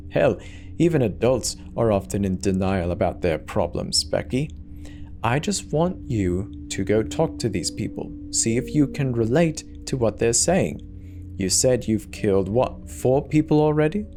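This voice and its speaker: A man's voice: medium pitch, animated, a little slow, mellow, soft background music. A man voicing an anxious, protective father in a horror story, speaking with urgent, pleading emotion. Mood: worried, pleading.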